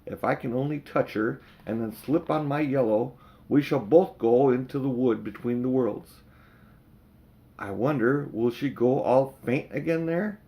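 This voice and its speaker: A man's voice: mid-range voice, unhurried, warm tone, natural voice. A man voicing a plucky schoolboy in a storybook, anxious and wondering. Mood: anxious, pondering. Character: worried, plucky schoolboy hero.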